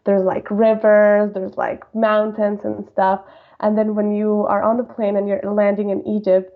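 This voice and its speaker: A woman in her late twenties from Colombia with a Colombian accent, chatting informally as a podcast guest and telling stories in an animated way. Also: on the high side, narrow, tinny audio. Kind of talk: casual interview chat.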